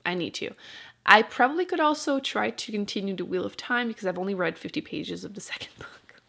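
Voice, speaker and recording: A woman with a noticeably creaky, fry-edged voice at a middling pitch. She chats informally as a book vlogger, rambling a little and cutting into her own sentences with dry understatement. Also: lively intonation, fairly quick, pushed and bright. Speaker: book vlogger and channel host. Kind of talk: casual chat to camera about books.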